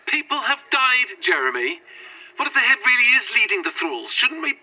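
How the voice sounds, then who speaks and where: A man's voice: very high-pitched, very animated, moderate pace, pushed and bright, heavily acted, low-quality recording. A man acting an anxious, cautious fugitive in a horror audio drama, talking hesitantly with stammers. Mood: worried, pleading.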